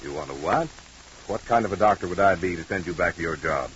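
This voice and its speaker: An actor playing a paternal, wry company doctor in a 1940s radio drama, soothing but firm. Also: male voice, low voice, expressive, fast talker, fairly bright tone. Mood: wry, exasperated. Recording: muffled old radio recording, faint hiss.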